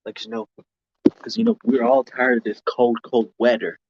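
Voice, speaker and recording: A man's voice: mid-range voice, very animated, normal speed, gravelly, muffled recording, noisy background. A man in his mid-twenties chatting casually to viewers on a live stream outdoors, rambling and often repeating or restarting his phrases.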